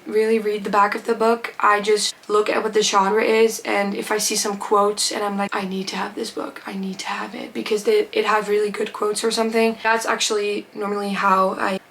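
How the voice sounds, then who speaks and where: A woman's voice: medium pitch, flat delivery, normal speed, hardly any pauses, a bit bright, slight background noise. Chatty teen girl. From Netherlands or Flanders. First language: Dutch. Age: teens. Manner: chatty, gushing, rambling with fillers. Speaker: book vlogger. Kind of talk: casual Q&A chat to camera.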